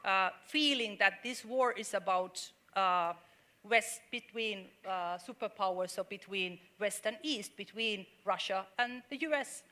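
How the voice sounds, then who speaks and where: A woman's voice: mid-range voice, unhurried, sharp tone. A middle-aged woman from Finland, speaking earnestly on a panel discussion with many fillers and restarts.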